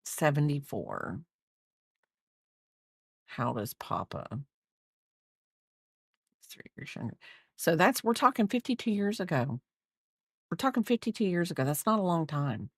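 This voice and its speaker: A woman's voice: low-pitched, big pitch swings, a little slow, pauses often, slightly rough, no echo, studio quality. A woman in her fifties chatting casually on a crochet livestream, rambling and reading out viewer comments. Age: 50s.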